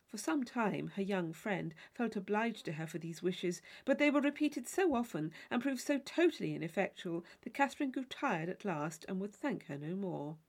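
A woman's voice: mid-range voice, expressive, moderate pace, few pauses. A woman reading a classic novel aloud as scripted literary narration. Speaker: audiobook reader.

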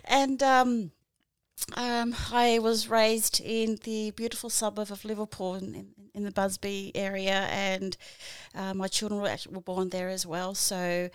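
A woman's voice: medium pitch, level tone, measured pace, smooth, no echo. A woman in her forties with an Australian accent, a podcast guest talking about her volunteer work, with many fillers and restarts. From New Zealand. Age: 40s. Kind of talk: casual interview answers.

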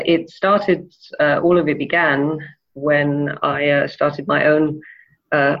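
A woman from Denmark giving thoughtful interview answers, pausing often with ums and uhs. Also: low-pitched, creaky, lo-fi sound. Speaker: interview guest, e-commerce manager.

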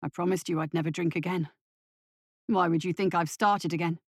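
A woman voicing a weary, anxious father in a story reading, with a hushed, pleading manner. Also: fairly low pitch, fairly even intonation, fairly quick, no echo, clean studio sound, pitched below the performer's own voice, lightly acted.

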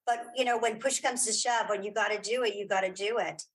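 A woman's voice: mid-range voice, steady tone, fast, a loud crowd, rough recording. A woman speaking as a guest in a casual interview, chatty and informal, often restarting her sentences. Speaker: interview guest, audio drama creator.

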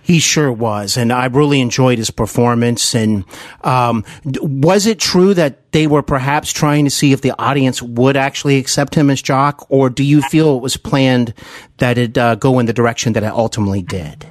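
A man's voice: mid-range voice, moderate pace, no room sound, clean studio sound. A man hosting a podcast interview, speaking as an earnest, effusive fan of his guest. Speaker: podcast host. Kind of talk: celebrity interview.

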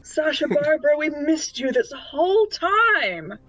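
A woman's voice: high-pitched, sing-song, unhurried, fairly bright tone, dry recording. Dull lo-fi recording of a woman gaming. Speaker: tabletop game player. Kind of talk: casual playful game chat. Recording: dull lo-fi sound, music behind.

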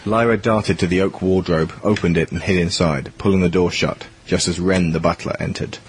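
A man's voice: sits low, steady tone, normal speed, husky. A man reading scripted narration for an audio drama.

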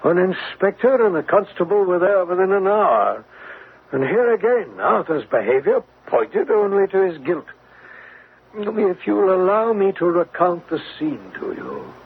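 A man's voice: high-pitched, very animated, a little slow, slightly rough, exaggerated voice. An actor playing a stern, troubled older banker in a classic radio drama, formal and emphatic in his speech. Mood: distraught. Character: anguished, stern, proud older banker father. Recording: tinny, noisy old radio recording.

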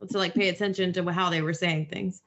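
A woman's voice: fairly low pitch, steady tone, fairly quick. A woman in a casual chat, thoughtful, explaining things patiently. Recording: dull lo-fi sound.

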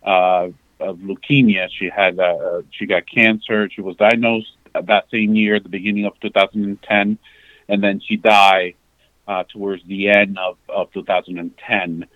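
Halting low-voiced man on a remote call. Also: little pitch movement, no echo, phone-quality sound. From Dominican Republic. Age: 40s. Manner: halting, many fillers and restarts. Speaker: guest. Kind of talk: candid conversational interview. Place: parked outside a sports venue.

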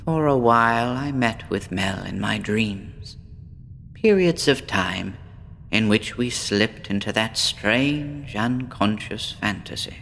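A man playing a despondent, withdrawn woman patient in a horror audio drama, speaking in a brooding, deliberate, theatrical way. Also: fairly low pitch, animated, creaky, a bit bright, slight background noise, exaggerated voice. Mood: melancholy, despondent. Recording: long reverb with faint background ambience.

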